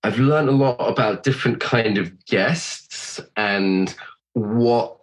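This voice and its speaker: A middle-aged man with a cold, a podcast host being interviewed, chatting loosely, swearing freely and thinking out loud. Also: on the low side, slow, rough recording. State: has a cold. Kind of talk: casual chat.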